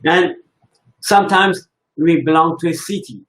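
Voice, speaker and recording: Slow, halting man. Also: on the high side, a bit bright, low-quality recording. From Iran. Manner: slow, halting, thinking aloud. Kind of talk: reflective religious talk.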